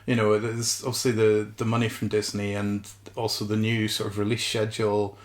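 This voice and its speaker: A roomy, echoing recording of a man with a fairly low voice. He co-hosts a podcast, chatting in a reflective and wordy way, qualifying his points as he goes. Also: monotone, a little fast. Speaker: podcast co-host. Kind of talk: casual, reflective TV discussion. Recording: roomy, echoing indoor sound.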